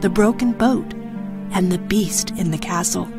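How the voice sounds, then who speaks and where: A woman's voice: mid-range voice, moderate pace. American woman narrating fairy tales over music. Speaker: storyteller. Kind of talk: scripted children's story narration. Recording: music behind the voice.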